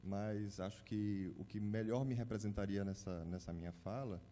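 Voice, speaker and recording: A man's voice: on the low side, fairly even intonation, moderate pace, mellow. A young man from Salvador, earnestly asking a lecturer a question from the audience and tagging his sentences with "né". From Salvador, Bahia, Brazil.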